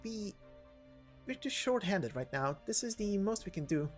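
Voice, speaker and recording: A woman's voice: medium pitch, sing-song, normal speed, faint music behind, lightly acted. A woman voicing an earnest, protective young female knight leader in a game story, reassuring and a little hesitant.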